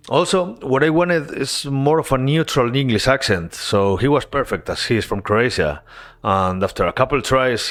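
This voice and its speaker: A man narrating his game-development devlog as a voice-over, earnest and relaxed, with casual asides. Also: mid-range voice.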